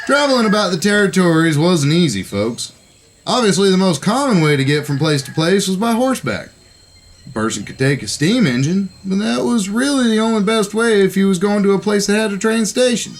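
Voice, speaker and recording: A man plays an excitable cowboy kid brother in a Western radio play. His voice sits high and leaps around in a folksy drawl. There is clear noise behind him. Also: brisk. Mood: folksy storytelling. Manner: folksy drawl with old West grammar. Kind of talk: Western audio drama acting and folksy narration.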